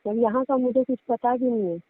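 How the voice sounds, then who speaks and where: A thin, dry phone line carries a woman acting as a young married daughter. Her voice is high, and she talks casually in a chatty, complaining way, like someone airing household grievances. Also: fairly quick, no echo, lightly acted. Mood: plaintive. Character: aggrieved young married daughter confiding in father. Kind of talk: staged phone conversation in casual Hindi. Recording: tinny, dry phone-call audio.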